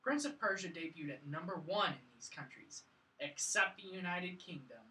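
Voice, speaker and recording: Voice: mid-range voice, expressive, moderate pace, sharp tone. A panelist chatting casually on a film review show. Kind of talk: casual chat. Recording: echoey room, far from mic.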